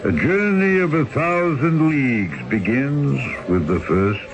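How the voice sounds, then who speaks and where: A man's voice: mid-range voice, expressive, a little slow. An actor playing a weary, fatalistic old Siberian boatman in an old-time radio drama, speaking in a stern, philosophical, declamatory way. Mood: weary, resigned. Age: older.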